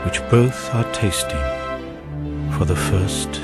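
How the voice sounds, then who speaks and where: An actor as a solemn storyteller, giving measured, literary narration of a gothic tale in an audio drama. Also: male voice, lowish voice. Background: loud music.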